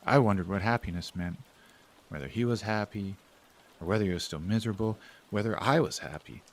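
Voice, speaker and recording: A man's voice: fairly low pitch, a little fast. A man acting in an audio drama as a bitter, struggling family man and older brother, earnest and pressing. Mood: sombre, reflective.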